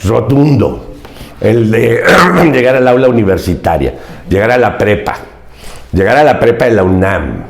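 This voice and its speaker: An older Mexican man with a low voice speaks as a podcast guest. He talks earnestly and emphatically, often circling back to repeat his main points. The recording is roomy, with a noticeable echo. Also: lively intonation, normal speed. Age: 70s. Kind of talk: reflective interview storytelling.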